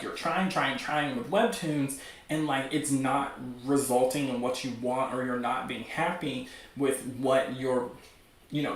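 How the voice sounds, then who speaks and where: A man hosting a casual livestream, talking in a gushing, excitable, rambling way peppered with "like". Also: fairly high pitch. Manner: gushing, excitable fan enthusiasm; rambling, heavy use of "like". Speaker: livestream host. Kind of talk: casual livestream chat about webcomics. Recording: echoey room, distant from mic.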